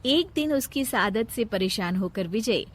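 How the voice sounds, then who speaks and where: A woman's voice: on the high side, expressive, normal speed. A woman narrating a scripted moral story.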